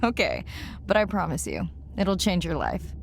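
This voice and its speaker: A woman playing an earnest, devoted young marine scientist in a video game, warm, wry and conversational. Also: lowish voice, huge pitch swings, normal speed, vocal fry, slight background noise, full character voice. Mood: warm, teasing. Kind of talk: naturalistic video game voice acting.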